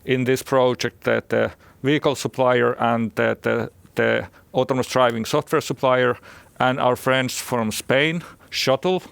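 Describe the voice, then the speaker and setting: Man, Finnish accent, creaky voice, dry close sound, halting conference presentation, repeated words. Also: medium pitch, no echo. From Finland. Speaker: startup chief executive, conference speaker.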